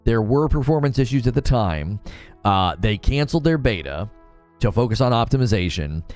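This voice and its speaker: A man's voice: mid-range voice, very animated, moderate pace, a little mellow, soft background music. A young man hosting a live stream, chatting loosely about games and reading chat, with many fillers and restarts. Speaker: streamer host.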